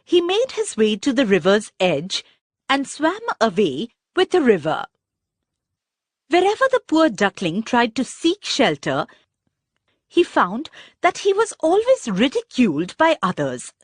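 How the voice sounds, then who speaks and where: A woman reads a children's story in a high-pitched voice that swoops widely. She takes her time, telling it expressively and deliberately. The recording is dry and close. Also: huge pitch swings, husky, dry recording. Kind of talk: scripted narration of a children's story.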